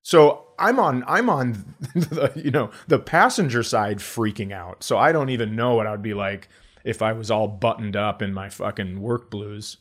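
A man's voice: fairly low pitch, normal speed. A middle-aged man co-hosting a comedy podcast, riffing in casual, sardonic and profane banter.

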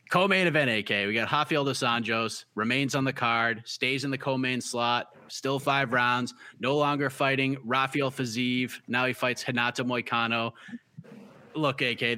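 A man's voice: mid-range voice, sharp tone, no echo. A man with a Boston accent hosting a casual fight-prediction chat, confident and teasing. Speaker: podcast host.

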